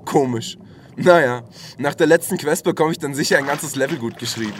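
A man's voice: fairly high pitch, a little fast, background noise, close to an everyday voice. A man playing a naive, eager young warrior, a gullible newbie in a comedic audio drama. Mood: puzzled, trusting. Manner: eager, trusting.

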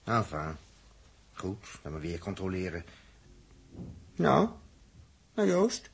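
An actor with a Netherlands Dutch accent playing an excitable, fretful spaceship engineer in a 1960s radio drama, with a husky voice. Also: male voice, sits low, takes it slowly, exaggerated voice. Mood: testy. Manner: swings from muttering to agitated outbursts.

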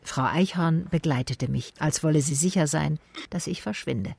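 A woman's voice: deep voice, moderate pace, a little mellow, no room sound, exaggerated voice. An actress playing an observant female private detective, narrating her case in the first person for a radio drama. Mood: observant, measured. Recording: clearly noisy ambience behind voice.

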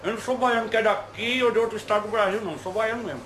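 Man channeling a high-voiced, folksy Brazilian spirit guide. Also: steady tone, very fast, fairly bright tone. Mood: chatty, proud. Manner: colloquial, playful, repeats short phrases for emphasis. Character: folksy, teasing male Bahian spirit guide Zé do Coco. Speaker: spirit medium answering questions. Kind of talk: informal spiritual dialogue. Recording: roomy, echoing, clearly noisy amateur recording.

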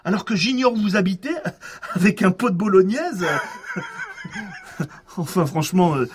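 An actor playing a flustered, chatty eccentric suspect in a French audio drama, stammering and rambling with a French accent. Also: male voice, high voice, lively intonation, moderate pace, background noise. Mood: giggly apology.

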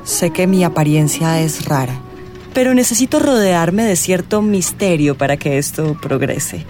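An actress with a Colombian accent playing a mysterious, self-assured tarot reader in a radio drama, theatrical and sardonic. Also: female voice, fairly low pitch, expressive, unhurried, music in the background. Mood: mysterious, grand.

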